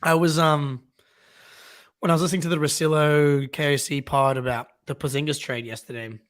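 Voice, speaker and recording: A man's voice: on the high side, steady tone, brisk, fairly bright tone. A young man co-hosting a live fan reaction stream, chatting casually and agreeing often with fillers. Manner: easygoing, agrees a lot, fillers like "yeah, dude" and "um". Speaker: fan channel co-host.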